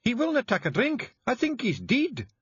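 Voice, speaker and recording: A man voicing a small, fierce clan matriarch, sharp and commanding when she gives orders. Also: high-pitched, sing-song, a little slow, husky, dry recording, lo-fi sound, pitched above the performer's own voice, heavily acted. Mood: shouting.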